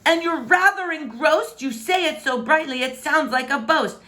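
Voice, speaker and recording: A woman's voice: sits high, normal speed, bright, forceful tone, voice pushed up. A woman voicing a pompous, fussy, indignant king and father for a children's storybook, in a theatrical, scolding way. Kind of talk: expressive children's storybook read-aloud.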